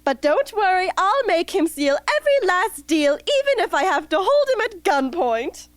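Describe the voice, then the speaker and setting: A woman's voice: very high voice, sing-song, normal speed, no echo. A woman playing a bubbly, fussy, upbeat escort in an amateur radio drama, with a chirpy, theatrical delivery. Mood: reassuring.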